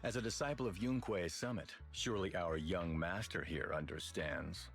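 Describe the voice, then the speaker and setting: A self-important retired action star in an anime-style game. He speaks dryly and deliberately in a fairly low, middle-aged voice. Faint music sits behind him. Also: a bit bright. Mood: aloof. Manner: measured, self-important, dry. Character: proud, dry, veteran male kung fu movie star.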